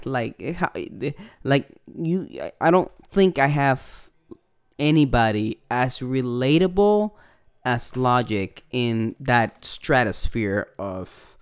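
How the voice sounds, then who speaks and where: A young man with a Puerto Rican accent talks loosely into his podcast mic, full of fillers and curse words. His voice is smooth and clear at a middling pitch. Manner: rambling, profane, with many fillers. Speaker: podcast host. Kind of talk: casual podcast intro.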